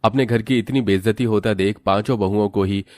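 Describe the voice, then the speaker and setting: A man with a low voice tells a scripted cartoon story. The sound is close and dry, as a narrator's studio track is. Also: steady tone, a little fast, a little mellow, dry recording.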